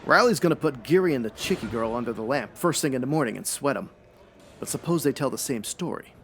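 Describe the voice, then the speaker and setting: Gravelly hard-boiled private eye played by a man. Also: medium pitch, expressive, fast talker, fairly bright tone, slight background noise. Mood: brusque. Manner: clipped, slangy, wisecracking. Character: hard-boiled male private detective, brusque, slangy. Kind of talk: noir radio drama comedy acting.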